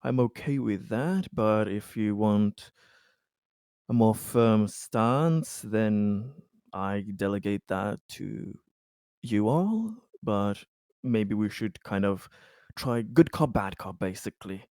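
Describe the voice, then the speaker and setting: A man's voice: on the low side, animated, slow, a little mellow, clean tone, no echo, clean studio sound. A man playing a tabletop roleplaying game, chatting casually and hesitantly, often repeating his phrases.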